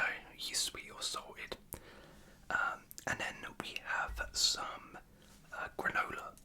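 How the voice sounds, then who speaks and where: A British ASMR host whispering casual, unscripted chat in a hesitant, rambling way. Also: pushed and bright. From United Kingdom.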